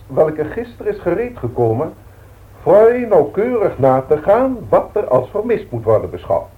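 An actor with a Dutch accent playing a formal, long-winded company director in a 1960s radio drama, speaking in measured, pompous speeches. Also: male voice, fairly high pitch. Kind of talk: 1960s radio drama acting, boardroom address. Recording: thin phone-like old radio recording.

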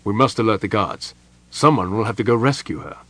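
A man voicing a tired, patient young male mage who speaks quietly and in a measured way, with a husky voice. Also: lowish voice, no echo. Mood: firm.

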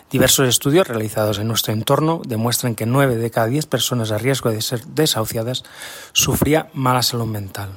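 A man with an accent from Spain reading a scripted statement in a formal, measured way. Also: medium pitch, a bit bright, husky. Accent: Spanish, from Spain. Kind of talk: scripted statement read aloud.

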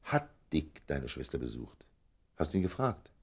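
An urbane older confidant in a radio drama. His voice is low and husky, his tone dry and gently ironic, with lively turns of intonation. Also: normal speed, exaggerated voice. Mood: probing, wry. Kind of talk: German radio play acting.

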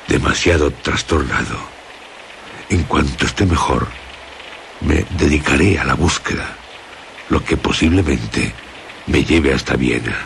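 An actor playing a sombre, anguished storyteller in a gothic radio drama, solemn and halting, gravelly. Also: male voice, extremely low pitch, a bit bright, slight background noise, exaggerated voice. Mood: anguished, weary. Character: sombre, very deep-voiced gothic storyteller reading anguished letter.

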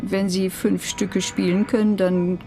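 A woman's voice: medium pitch, little pitch movement, a little slow, background noise, natural voice. An actress playing a polite, hesitant, earnest female piano teacher in a German radio crime drama.

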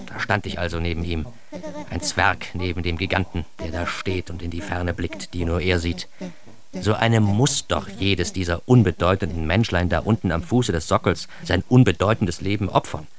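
An actor playing a self-deprecating, bitter grown son who narrates his youth in a confessional radio-play monologue, addressing the listener directly. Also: deep voice. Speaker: narrator and protagonist of a radio drama.

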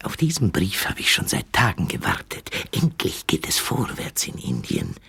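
An actor playing a smug, pompous, self-serving Soviet spy chief in a German radio drama. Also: male voice, extremely low pitch, wildly swooping pitch, moderate pace, gravelly, heavy background noise, heavily acted. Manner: smug, pompous when dictating.

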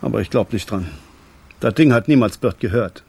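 A man playing a calm, paternal loan shark in a German radio drama, measured, condescending and politely threatening. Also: on the low side, gravelly, breathy edge. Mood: amused, dismissive.

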